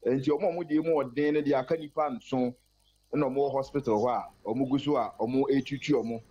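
A man's voice: mid-range voice, steady tone, brisk, noisy background. A man giving a live sports news report over the phone, fluently mixing Twi and English. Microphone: phone line. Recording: muffled phone line.

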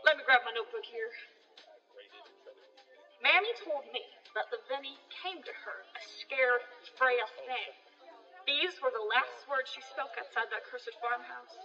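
A woman's voice: high voice, unhurried, vocal fry, pushed and bright, exaggerated voice. A woman playing an uneasy, gossipy rural villager in a radio drama, telling ominous tales in a folksy manner. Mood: hushed, grave. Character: gossipy, uneasy rural New England villager, a farm neighbor. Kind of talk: radio drama acting, storytelling. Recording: dull echoing hall with music behind.

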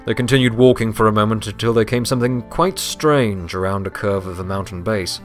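Low-voiced male storybook narrator. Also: a little fast, faint music behind.

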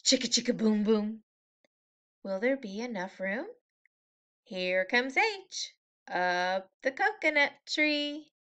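A woman preschool teacher reading a picture book aloud to young children in a playful, expressive, sing-song manner. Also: fairly high pitch, takes it slowly, long pauses. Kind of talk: animated children's story read-aloud.

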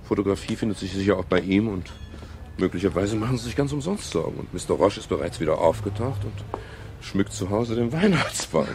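Low, gravelly, wry private detective. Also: male voice, expressive, a little mellow, slight background noise. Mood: polite, reassuring. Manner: dry, ironic, measured. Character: wry, world-weary male private detective, polite and unhurried. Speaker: lead actor and first-person narrator. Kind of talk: 1970s German radio crime drama acting. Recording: 1970s radio play with music.

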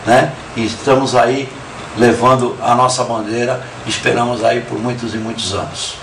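A man's voice: medium pitch, moderate pace, a little hiss or hum. A man from São Paulo, proudly speaking about his vocal group in a documentary interview and leaning on "aí" as a filler. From São Paulo, Brazil. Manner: proud, steady, leans on filler "aí". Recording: echoey room, mic from a distance.

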